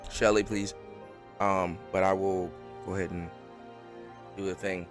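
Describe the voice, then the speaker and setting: A man's voice: low-pitched, little pitch movement, measured pace, music in the background. A man hosting a reaction live stream, chatting in an informal, rambling, self-effacing way. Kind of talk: casual live stream chat.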